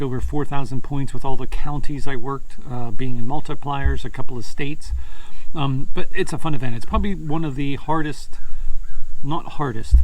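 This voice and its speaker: A man giving a casual, halting recap as a hobby vlogger, often correcting himself. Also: medium pitch, darkish tone, far from the mic, rough recording. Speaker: amateur radio vlogger.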